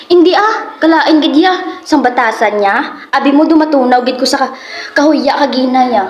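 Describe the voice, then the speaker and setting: A woman's voice: high-pitched, normal speed, muffled recording, lightly acted. A woman with a Filipino Hiligaynon accent playing a put-upon young housemaid in an amateur radio drama, conversational and complaining. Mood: aggrieved. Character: put-upon young female housemaid, gossipy but deferential. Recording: echoey room with music.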